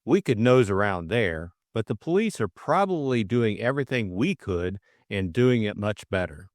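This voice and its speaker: A man voicing a breezy, reasoning young gentleman sleuth in an audiobook. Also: fairly low pitch, sing-song, normal speed, no room sound, clean studio sound. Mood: musing. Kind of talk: audiobook character dialogue.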